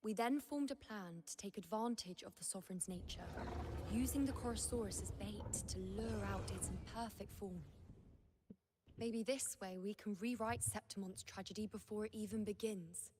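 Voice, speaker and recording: Game role: solemn young female seer voiced by a woman, proud and burdened, measured formal delivery, mid-range voice, long reverberant tail. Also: mid-range voice, background noise, natural voice. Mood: grave, resigned. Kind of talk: scripted dramatic video game dialogue.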